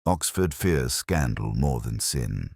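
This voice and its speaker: Very deep-voiced male narrator. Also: expressive, creaky, a little mellow, breathy edge, no room sound, clean studio sound. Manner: measured, literary, reflective. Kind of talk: first-person scripted detective story narration.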